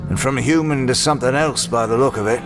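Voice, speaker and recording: A man's voice: mid-range voice, quick pace, lightly acted. A man voicing a grim, cold, brooding mercenary swordsman in a dramatic comic dub. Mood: wary, sardonic. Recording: loud music under the voice.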